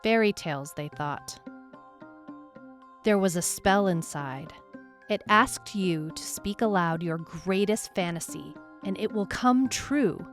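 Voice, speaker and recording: A woman playing a brooding detective who narrates a supernatural case in a measured, deliberate way, as in a scripted audio drama. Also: on the low side. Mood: intrigued, measured. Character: brooding female detective recounting a supernatural missing-person case. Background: music.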